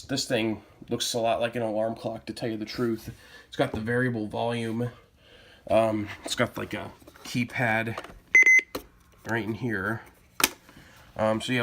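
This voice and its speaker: A man talks casually to viewers as he presents a collection. His delivery is plain-spoken and a little hesitant, with a middling pitch, as if thinking aloud while he shows each item. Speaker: collector presenting weather radios. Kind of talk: casual product showcase vlog.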